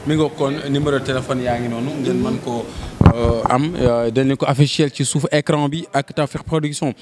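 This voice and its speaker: Young Senegalese male reporter, handheld mic at a fair stand, upbeat pitch. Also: steady tone, a little fast, noisy background. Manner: promotional, hesitant fillers. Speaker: reporter and host. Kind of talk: trade fair interview. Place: exhibition stand indoors.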